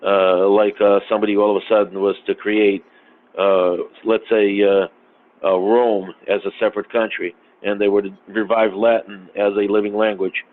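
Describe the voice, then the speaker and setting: Halting man on a tinny line. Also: on the low side, level tone. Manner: halting, many fillers and restarts. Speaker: podcast guest. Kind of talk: casual conversation. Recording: tinny phone-like sound, faint noise.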